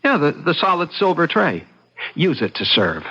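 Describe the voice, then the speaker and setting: A man's voice: a little high, wildly swooping pitch, normal speed, bright, forceful tone, husky, exaggerated voice. An actor playing a mild, hesitant political husband who questions his wife in a radio drama. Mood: mildly insistent. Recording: muffled, lo-fi radio drama sound.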